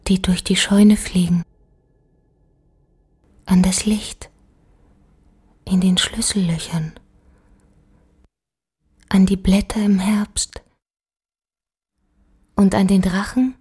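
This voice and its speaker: An actress playing a haunting female spirit in a poetic radio play, breathy and whispery, slow and incantatory. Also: medium pitch, warm tone. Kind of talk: poetic audio drama monologue.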